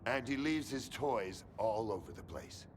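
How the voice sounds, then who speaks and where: An actor playing a gruff, short-tempered colonel in a video game, barking orders with profane sarcasm. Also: male voice, fairly low pitch, sing-song, unhurried, a little hiss or hum. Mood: commanding, sardonic. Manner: brusque, commanding, profane, sarcastic.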